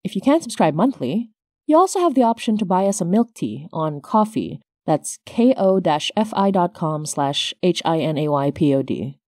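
A woman's voice: fairly low pitch, sing-song, moderate pace, darkish tone, no room sound, clean studio sound. A woman hosting her podcast, reading scripted announcements as its creator.

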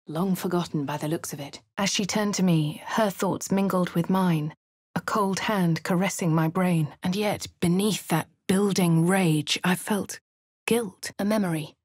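Posh composed adventurer. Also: female voice, on the low side, unhurried, a little mellow, slightly rough, no room sound, clean studio sound. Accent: posh English. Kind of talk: game character voice lines.

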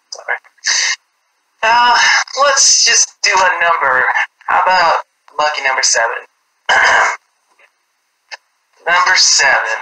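A young man hosting his own vlog and chatting on a video call, his voice scratchy from a sore throat, halting through lots of fillers and small chuckles. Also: fairly high pitch, sharp tone. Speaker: vlog host and open mic poet. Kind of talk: rambling casual chat. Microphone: laptop webcam mic.

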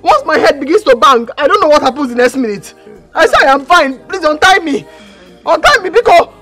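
A woman's voice: very high-pitched, animated, a little fast, sharp tone, soft background music, full character voice. A woman with a Nigerian accent playing a distraught young woman who pleads and wails insistently in a film drama. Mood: desperate pleading.

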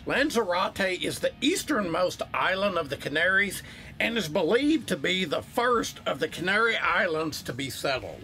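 A man's voice: high voice, sharp tone. A man reading scripted voice-over narration for a travel video, upbeat in tone.

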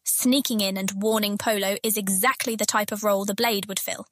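An actress playing a bold, ambitious thief captain who speaks assertively in a fantasy audiobook. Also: female voice, mid-range voice, steady tone, a little fast, a bit bright, slight background noise, close to an everyday voice. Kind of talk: dramatised fantasy audiobook acting.

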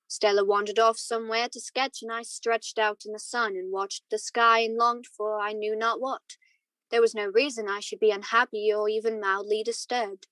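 A woman playing a wistful married man who recalls his youth in measured, reflective radio drama narration. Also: medium pitch, a bit bright, no echo, natural voice, rough recording. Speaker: student actor.

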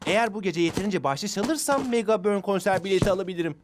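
A teenage cartoon delivery boy, very high-voiced for a male. He sounds casual and youthful, with clear noise behind his voice. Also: expressive, quick pace. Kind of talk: children's cartoon dub acting.

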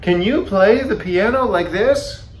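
Excitable male storybook toad, acted by a man, high-pitched and emphatic, with roomy echo. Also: unhurried. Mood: showing off. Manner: crying out, emphatic. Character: excitable, showy male toad who grows anxious and lonely. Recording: echoey room, faint noise.

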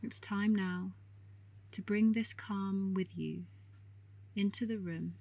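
A woman guiding a meditation in a calm, soothing, measured way with long pauses and a soft, breathy voice. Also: mid-range voice, dry recording. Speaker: meditation guide. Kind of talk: guided meditation narration.

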